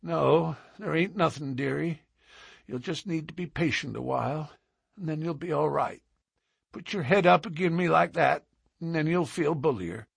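A man's voice: fairly high pitch, moderate pace, dry recording, studio quality, voice pushed up, full character voice. A man doing a parched old frontiersman. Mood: tender. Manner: rough, drawling, gentle.

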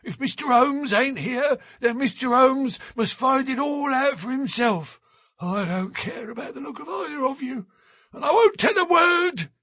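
A man's voice: very high-pitched, normal speed, a bit bright, no echo, voice pushed up, exaggerated voice. A man playing a wheezing, stubborn old sailor. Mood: stubborn, querulous. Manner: quavering, gruff old man's voice.

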